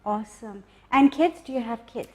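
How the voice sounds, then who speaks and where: A woman hosting a cooking show, chatting casually with her guest and answering with quick short affirmations. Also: fairly high pitch, animated, rough recording. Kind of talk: casual interview chat.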